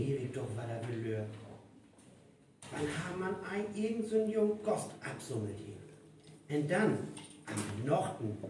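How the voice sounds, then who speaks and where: An actor with a North Frisian accent playing a brooding moorland folk-tale character in a live radio play. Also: male voice, a little high, dark tone. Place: event venue, live stage recording. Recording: very echoey hall, distant, faint noise.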